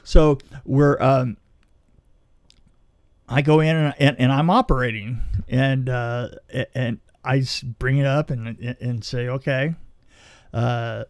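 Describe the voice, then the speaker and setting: A man telling a story casually on a podcast, in a halting, rambling way that often restarts mid-sentence. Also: medium pitch, steady tone, no echo, clean studio sound. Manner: halting, rambling, self-interrupting delivery. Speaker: film special effects technician telling a story.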